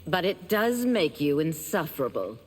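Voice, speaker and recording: A woman's voice: sits low, big pitch swings, normal speed, husky, in a large hall, exaggerated voice. An actress voicing a composed, teasing young woman warrior in a video game.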